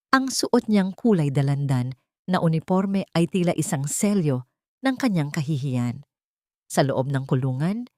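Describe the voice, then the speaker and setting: A woman narrator reading a scripted dramatic love story in a solemn, deliberate manner. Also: low voice, warm tone, dry recording, clean studio sound.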